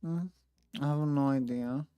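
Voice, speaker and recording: A woman gaming streamer giving casual, low-key commentary in short remarks. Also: unhurried, smooth, no echo, dull audio, faint music behind.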